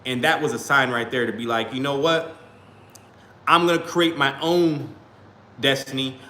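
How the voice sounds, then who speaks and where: A man's voice: medium pitch, fairly even intonation, normal speed, pushed and bright. A man rambling casually to his livestream chat, leaning on "you know what I'm saying" and "you feel me.". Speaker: small YouTuber live-streaming. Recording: roomy echo, faint background hum.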